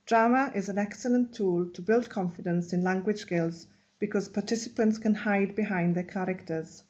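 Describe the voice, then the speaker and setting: A woman teacher reading out a webinar presentation in a measured, instructional way. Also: mid-range voice, a little mellow, highs cut off. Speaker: Welsh language teacher. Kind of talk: read-aloud webinar presentation.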